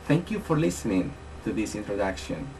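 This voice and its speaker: A man from Colombia whose first language is Spanish, speaking English with a Colombian Spanish accent. He gives a slow, deliberate scripted introduction as a Spanish teacher and stresses key words. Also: lowish voice, sing-song, vocal fry, background noise.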